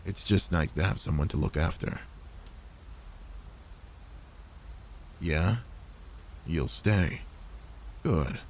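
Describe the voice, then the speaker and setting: A man voicing an older werewolf, a gruff but gentle protective loner, in a soft, intimate ASMR roleplay with a soothing, fatherly manner. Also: low voice, slight background noise. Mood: tender, soothing. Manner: soothing, paternal, unhurried reassurance.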